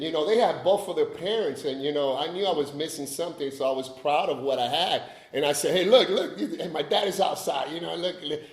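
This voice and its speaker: A man's voice: fairly high pitch, roomy sound, poor audio. A man from Cuba, giving a personal recovery talk, chatty and joking with the audience in asides. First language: Spanish. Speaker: AA speaker.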